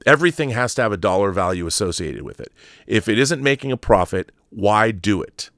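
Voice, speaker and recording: A man's voice: on the low side, lively intonation, a little fast, fairly bright tone, dry recording, studio quality. An American man hosting a livestream, talking casually and with opinions in a rambling, emphatic way that repeats phrases for stress. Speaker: livestream host. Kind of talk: casual opinionated livestream monologue, reading viewer comments.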